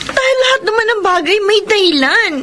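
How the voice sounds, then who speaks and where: A high-voiced, pleading young daughter. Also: female voice, animated, a little fast, sharp tone, exaggerated voice. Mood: hurt, aggrieved. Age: young adult. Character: aggrieved, pleading, respectful grown daughter. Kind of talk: radio drama acting. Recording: noisy radio drama recording.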